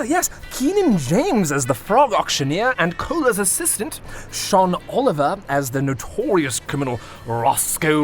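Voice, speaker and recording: A man playing a pompous, irritable chief inspector in a comedy audio drama, given to barking outbursts. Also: on the high side. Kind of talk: audio drama credits read in character.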